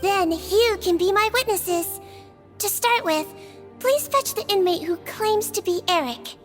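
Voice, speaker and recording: A woman voicing a small, gentle female head nurse in a video game, who speaks softly, patiently and clinically. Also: very high voice, lively intonation, unhurried, music in the background. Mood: calm.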